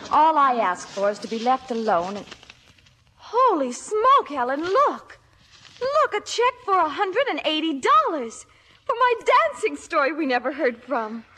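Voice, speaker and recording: An American woman acting an excitable teenage daughter in a 1950s radio comedy, self-important and emphatic. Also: high-pitched, unhurried, heavily acted. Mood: thrilled. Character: excitable, dramatic teenage daughter with literary ambitions.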